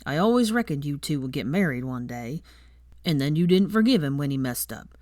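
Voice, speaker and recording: A woman voicing a big, kind, awkward gamekeeper man with a gruff voice and halting, rustic, muttering speech, as in an audiobook. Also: deep voice, lively intonation, a little mellow, dry recording, studio quality. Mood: sad, apologetic.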